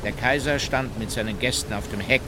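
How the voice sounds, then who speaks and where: An actor playing a formal naval cabinet chief, narrating his records in a measured, factual way for a documentary radio drama. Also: medium pitch, heavy background noise, close to an everyday voice.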